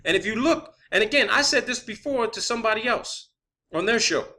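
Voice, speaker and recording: Male online presenter, slightly high pitch, bright forceful tone, emphatic unscripted ranting with frequent swearing and rhetorical questions. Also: animated, a little fast. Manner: emphatic, insistent, swears often. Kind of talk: unscripted conspiracy theory monologue.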